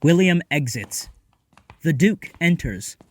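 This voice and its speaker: A man's voice: a little high, animated, takes it slowly, no room sound. A man reading scripted stage directions as the narrator of an audio drama.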